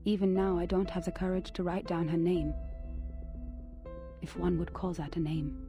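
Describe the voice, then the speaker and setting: Woman doing a thoughtful lady reading her journal, slow and wistful, faint music under it. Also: lowish voice, steady tone, dark tone, natural voice. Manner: measured, wistful storytelling. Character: reflective woman recalling a strange acquaintance. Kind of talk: game narration of a written record.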